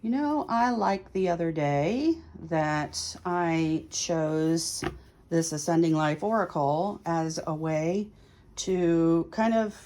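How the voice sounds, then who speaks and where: A woman's voice: lowish voice, darkish tone. A woman hosting a tarot reading, chatting casually and thinking aloud in short, musing phrases. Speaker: tarot reader hosting a video series.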